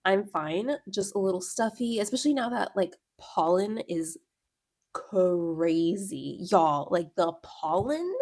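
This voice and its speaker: A young woman talks in a loose, rambling way, full of "like" and trailing restarts, her voice a little stuffy from a cold. She sits close to a small personal mic in a dry-sounding room, her pitch in an ordinary middle range. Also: expressive, slow, a little mellow, dry recording. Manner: rambling, filler-heavy, lots of "like". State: stuffy nose from a cold and allergies. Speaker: book vlogger. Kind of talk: casual chatty reading vlog. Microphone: clip-on or small personal microphone.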